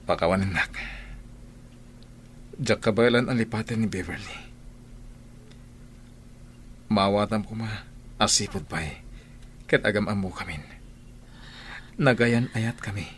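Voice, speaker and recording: Low-voiced, troubled Ilocano husband. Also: male voice, measured pace, long pauses, a bit bright, slight background noise. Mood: apologetic, torn. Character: troubled young husband, unfaithful in his heart. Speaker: actor in audio drama. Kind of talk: Filipino audio drama acting.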